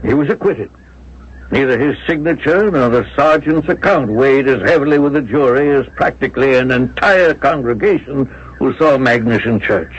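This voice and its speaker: Man playing a husky, haunted old man. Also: medium pitch, lightly acted. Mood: somber recollection. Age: older. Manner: halting, emotive, dramatic delivery. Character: haunted old man devoted to his dead beloved, wistful. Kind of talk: 1970s radio drama acting. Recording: muffled 1970s radio broadcast.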